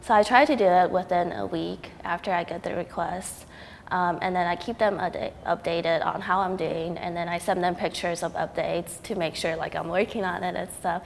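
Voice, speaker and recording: A woman's voice: fairly low pitch, fairly even intonation, moderate pace, gravelly. A young woman from Taiwan, a student artist, talking casually in an interview with frequent ums and likes. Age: young adult. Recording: reverberant hall with faint noise.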